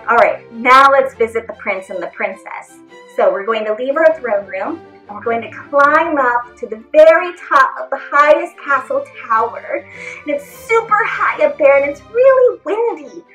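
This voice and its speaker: Animated, high-voiced woman teaching. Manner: animated, encouraging. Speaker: theatre performer teaching character voices. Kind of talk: instructional video for children. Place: small indoor room. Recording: echoey room, faint music.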